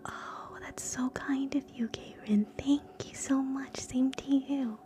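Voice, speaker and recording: A woman hosting live ASMR in a soft, breathy whisper, gentle and soothing, moving between guided relaxation and quiet chat. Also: sits high, steady tone, slow pace, over music. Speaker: ASMR streamer, host.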